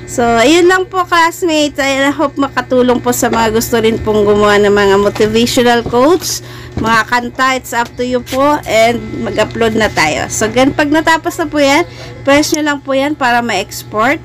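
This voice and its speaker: A woman's voice: fairly high pitch, moderate pace, faint music behind. A Filipino woman hosting a casual, friendly and chatty step-by-step phone editing tutorial for beginners, speaking politely to her viewers. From Philippines. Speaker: host of a video editing tutorial channel.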